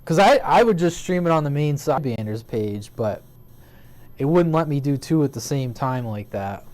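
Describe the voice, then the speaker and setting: A man hosting a casual radio hobby stream, talking in a relaxed, rambling way with restarts. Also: mid-range voice, moderate pace, a little hiss or hum. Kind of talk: casual chat.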